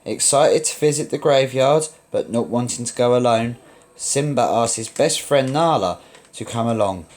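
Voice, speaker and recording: A man reading a children's story aloud as narrator, in a dramatic, expressive read-along style. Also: mid-range voice, moderate pace.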